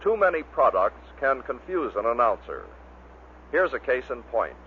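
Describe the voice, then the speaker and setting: A man's voice: mid-range voice, sing-song, moderate pace. An American man reading scripted narration that introduces a series of clips. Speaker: narrator of a bloopers record. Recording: tinny band-limited vintage record, faint noise.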